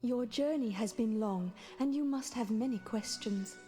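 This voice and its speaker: An actress playing a gentle noble mother turned swan in an adventure game, speaking in a measured, formal, tender way. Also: fairly high pitch, soft background music, natural voice. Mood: gentle, solemn.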